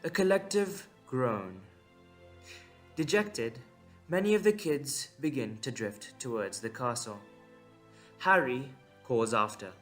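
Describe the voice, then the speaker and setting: A man narrating a scripted audio drama in a measured, dramatic way with deliberate pauses. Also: medium pitch, expressive, a bit bright, soft background music.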